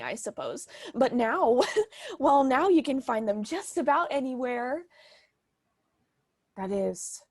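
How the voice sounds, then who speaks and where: A woman's voice: mid-range voice, slightly rough, no room sound. A woman playing a doting but overbearing mother in a stage comedy, gushing and reciting with pompous theatrical grandeur. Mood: wistful, aggrieved.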